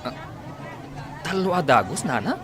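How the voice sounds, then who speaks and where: A man acting a friendly, concerned old friend in a radio drama, speaking in an animated way. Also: a little high, moderate pace, crowd noise, noisy background. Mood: friendly, curious.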